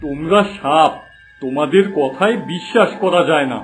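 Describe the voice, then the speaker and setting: A man's voice: fairly high pitch, moderate pace, soft background music, close to an everyday voice. A man voicing a proud, distrustful king in a fairy-tale cartoon, with a commanding manner. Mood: haughty anger. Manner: commanding, haughty.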